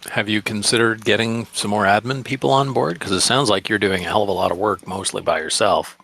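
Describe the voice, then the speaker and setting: A man's voice: fairly low pitch, steady tone, a little fast, gravelly, fairly bright tone. A man chatting casually as a podcast co-host, responding and asking questions.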